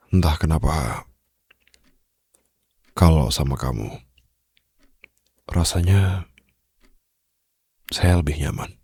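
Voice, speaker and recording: Casting: male actor as tender mafia boss lover, Indonesian accent, extremely low husky register, unhurried hushed delivery for intimate ASMR roleplay. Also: no echo, clean studio sound, exaggerated voice. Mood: confiding, smitten. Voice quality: deep, gravelly, husky. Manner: slow, soft, hushed, pausing, gently reassuring. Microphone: close studio condenser microphone.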